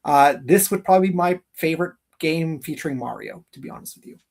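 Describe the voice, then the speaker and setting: A man's voice: fairly high pitch, fast talker, gravelly, a little mellow, recorded from a distance, slight background noise. Enthusiastic man chatting on a gaming livestream. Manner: enthusiastic, emphatic repetition of phrases. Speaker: host of a live gaming countdown show. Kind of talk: casual livestream chat. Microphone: streaming call microphone.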